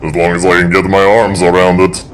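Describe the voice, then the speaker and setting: A man's voice: sits low, little pitch movement, brisk, a little hiss or hum, natural voice. An actor playing a huge, proud, good-natured strongman in an audio adventure drama, formal and a little boastful. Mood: amused, boastful.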